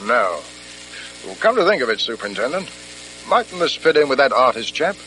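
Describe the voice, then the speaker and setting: Casting: plain-spoken British policeman, radio mystery, medium pitch, deferential and businesslike, noisy vintage sound. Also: big pitch swings, normal speed, pushed and bright. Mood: dutiful, businesslike. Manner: matter-of-fact, questioning, deferential to superiors. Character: plain-spoken, dutiful male police officer. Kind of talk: old-fashioned British radio drama acting.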